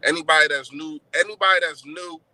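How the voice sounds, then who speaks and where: A man co-hosting a live sports podcast over a video call, loud and joking. His voice is very bright and cutting, a little high for a man. The sound is dry and close. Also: a little fast, dry recording, rough recording. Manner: loud, joking, animated outbursts. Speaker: podcast co-host. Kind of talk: casual live-stream chat. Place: in a car. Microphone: computer mic on a live video call.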